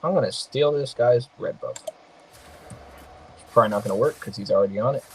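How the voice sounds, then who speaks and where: A man's voice: mid-range voice, a little fast, a little mellow, slight background noise, poor audio. Male gaming host, slightly nasal, loose and jokey, trash-talks his opponents. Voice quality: sometimes nasal. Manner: loose, joking, slangy trash talk. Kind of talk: casual gameplay commentary.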